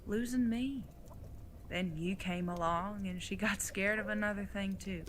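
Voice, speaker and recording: A woman's voice: mid-range voice, fairly even intonation, a little slow, soft background music, natural voice. A woman playing an older retired outlaw mother in an audio drama, folksy, plain-spoken and teasing, with affection underneath. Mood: tender, reminiscing.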